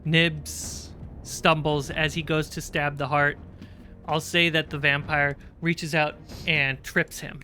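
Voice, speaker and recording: A game master with a bright, forward voice at a middling pitch narrates a tabletop roleplaying game. The delivery moves between describing action and making casual rulings, with music playing behind it. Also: level tone, slow pace. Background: background music.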